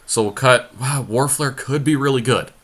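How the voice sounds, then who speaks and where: A man's voice: medium pitch, moderate pace, fairly bright tone. A man casually commentating as he builds a card deck, thinking aloud and making decisions as he goes. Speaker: podcast host playing a card game.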